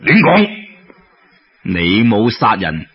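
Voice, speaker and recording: Male storyteller as storybook Cantonese magistrate: scolding, contemptuous, husky, dull radio-like sound. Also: on the low side, wildly swooping pitch, heavily acted. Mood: scornful. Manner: scolding, sneering, drawn-out. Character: harsh, scornful male county magistrate.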